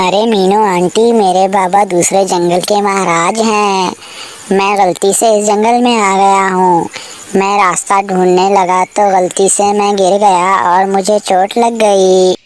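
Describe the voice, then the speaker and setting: A woman's voice: medium pitch, flat delivery, unhurried, slight background noise, close to an everyday voice. Cartoon parrot chick, young male, apologetic and timid; woman performer.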